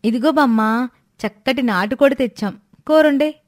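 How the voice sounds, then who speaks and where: A woman's voice: sits high, moderate pace, darkish tone, lightly acted. A woman voicing a cheeky, scheming young village woman in a moral storybook tale, bold, coaxing and insistent.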